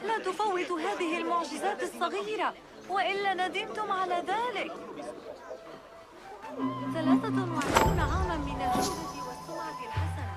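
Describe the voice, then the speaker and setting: A woman's voice: extremely high pitch, racing, over loud music, over a noisy crowd. An actress playing a female market hawker calling out wares in a video game. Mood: boisterous selling. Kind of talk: street vendor calls in a video game.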